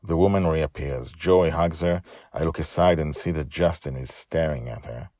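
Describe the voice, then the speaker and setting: A man's voice: very deep voice, normal speed, darkish tone. A man hosting his own story podcast, narrating and talking informally in a dramatic, emphatic way.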